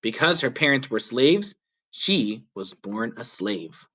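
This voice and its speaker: A man reading a children's story aloud as a teacher would, with clear, deliberate, emphatic phrasing. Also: medium pitch, very animated, sharp tone. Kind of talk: children's read-aloud narration.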